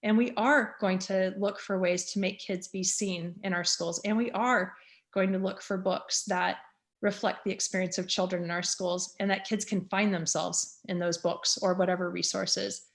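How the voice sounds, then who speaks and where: A woman's voice: medium pitch, steady tone, normal speed. Candid, earnest woman in her fifties. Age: 50s. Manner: candid and earnest, with frequent "um" fillers. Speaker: school superintendent. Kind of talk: speaking and answering questions at a community meeting.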